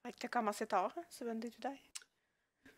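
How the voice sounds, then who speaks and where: A woman's voice: on the high side, steady tone, normal speed, no room sound. A woman hosting a podcast, stuffy from a head cold, prompting her guest with casual questions. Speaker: podcast host. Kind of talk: casual chat.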